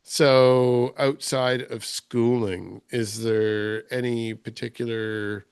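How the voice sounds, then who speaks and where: Gravelly smooth-voiced male host. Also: medium pitch, steady tone, takes it slowly, darkish tone, no room sound, studio quality. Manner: conversational, loose rambling questions. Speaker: podcast host. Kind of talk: casual interview. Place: remote call.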